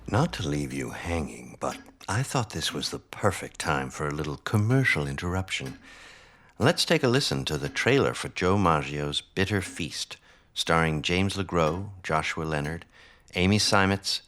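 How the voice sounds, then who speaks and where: A man's voice: sits low, expressive, normal speed, fairly bright tone. A man hosting an audio drama show, introducing segments as presenter. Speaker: host and presenter. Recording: clearly noisy background.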